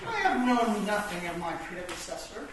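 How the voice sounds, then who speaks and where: A woman's voice: medium pitch, very animated, moderate pace, close to an everyday voice. A woman playing a grand, bossy Woman King chess piece, lofty and declamatory, in a comic radio play. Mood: commanding. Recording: echoey room, distant mic, faint noise.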